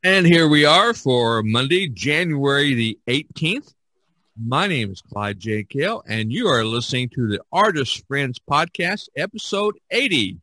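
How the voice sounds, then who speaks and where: A man hosting a podcast, talking casually in a halting, meandering way. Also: mid-range voice, sing-song, sharp tone, no echo. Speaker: podcast host.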